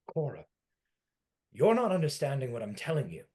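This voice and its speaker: A man's voice: mid-range voice, huge pitch swings, moderate pace, warm tone. A man playing a world-weary forty-year-old from another century, formal and fatalistic, in a dramatic table read. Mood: resigned. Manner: formal old-fashioned phrasing, theatrical, fatalistic.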